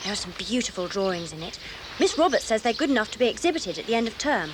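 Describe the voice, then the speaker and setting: A woman's voice: medium pitch, very animated, quick pace, noisy background, close to an everyday voice. A woman playing an earnest, friendly young English schoolgirl in a children's audio drama. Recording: outdoor nature sound effects behind.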